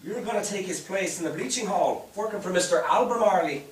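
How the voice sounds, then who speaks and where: A man playing a hearty, brisk, authoritative mill superintendent in a staged play reading. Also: high voice, a little hiss or hum, natural voice. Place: theatre stage. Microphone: distant microphone. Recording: distant, echoey stage pickup.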